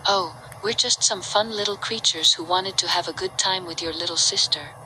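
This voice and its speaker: A woman's voice: lowish voice, moderate pace, bright, forceful tone, a little hiss or hum, poor audio. A woman voicing a sinister, possessive candy creature, sugary and mocking, in a horror cartoon fandub.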